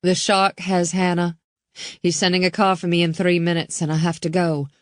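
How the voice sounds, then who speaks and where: A policewoman and mother, keeping her voice low and steady while urgency pushes through, read by a woman narrator. Her tone sits on the lower side, and the sound is dry and close. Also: normal speed, no room sound, close to an everyday voice. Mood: urgent calm. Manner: urgent, forcing calm.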